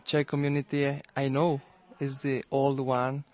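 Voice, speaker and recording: A man from Mexico, a native Spanish speaker, giving hesitant interview answers in simple, halting English. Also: mid-range voice, completely flat delivery, darkish tone.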